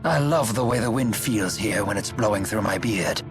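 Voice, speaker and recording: A fairly low-voiced old gentleman played by a man, genteel and mellow. He speaks in a refined, leisurely way, as in an anime game, over clear music. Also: moderate pace, natural voice. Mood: contented. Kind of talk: anime game dub acting. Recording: music behind.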